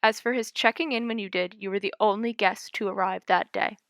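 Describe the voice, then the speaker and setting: A woman's voice: mid-range voice, steady tone, fairly quick, fairly bright tone, no echo, studio quality, lightly acted. A woman voicing a wary male hotel room clerk who speaks in a guarded, insistent way.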